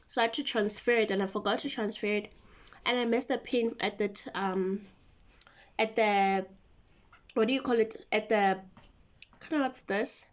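A woman's voice: medium pitch, fairly even intonation, normal speed, long pauses. A woman in her twenties with a South African accent, chatting casually and informally to camera as a vlogger. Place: mostly a bedroom at home. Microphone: phone camera at arm's length. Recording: dull lo-fi phone audio.

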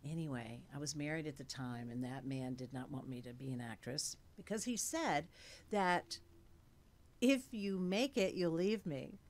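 Low-voiced woman in her sixties, chatty. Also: normal speed. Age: 60s. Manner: animated, chatty, many fillers, gestures a lot. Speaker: actress guest. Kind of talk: casual interview chat. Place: studio with dark curtains and blue lights. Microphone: studio mic on a stand.

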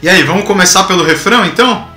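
A Brazilian man speaks as an enthusiastic channel host, in a voice pitched a little high. He addresses his viewers directly with a theatrical flair. The room adds clear echo, and music can be heard lightly behind him. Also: fast, pushed and bright. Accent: Brazilian Portuguese. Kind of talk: enthusiastic vlog commentary to camera. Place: small home room with bookshelves, indoors. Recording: roomy, echoing home recording.

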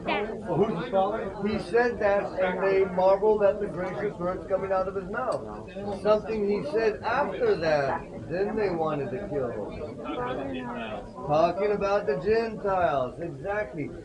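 A man's voice: high-pitched, unhurried, lo-fi sound. Tour guide man over crowd chatter. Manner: lively storyteller, asks group questions. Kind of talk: guided site tour explanation. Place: outdoor ruins terrace with a tour group. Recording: outdoor crowd chatter behind.

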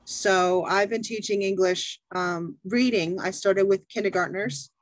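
A woman's voice: medium pitch, steady tone, a little slow, no room sound. Midwestern lady teacher, chatty and eager, super dry webcam sound no room at all. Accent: Midwestern American. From Chicago, USA. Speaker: English teacher. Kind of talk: video call conversation. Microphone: video call webcam.

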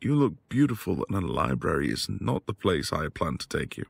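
Quiet, devoted academic husband male performer for boyfriend audio drama; husky, expressive delivery, hesitant and tender. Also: deep voice, normal speed, full character voice. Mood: tender, reassuring. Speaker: audio roleplay voice actor. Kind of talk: intimate boyfriend ASMR roleplay acting.

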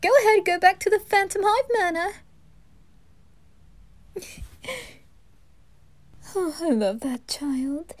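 A woman's voice: extremely high pitch, lively intonation, takes it slowly, long pauses, pitched above the performer's own voice, full character voice. A woman voicing a flamboyant, doting Reaper with theatrical, gushing affection in an anime fan audio drama. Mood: moved, gushing. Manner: theatrical, gushing, calls others darling.

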